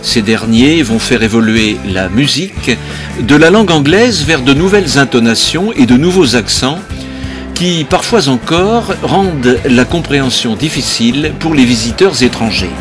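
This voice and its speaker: A man giving scripted documentary narration in a measured, explanatory way. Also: mid-range voice, animated, music in the background.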